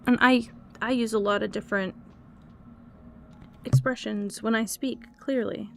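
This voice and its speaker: A young woman with a hoarse throat, chatting casually and swearing while she streams and sketches. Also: fairly high pitch, steady tone, unhurried, slight background noise. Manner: swearing, talkative, complaining. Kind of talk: casual livestream chat while drawing.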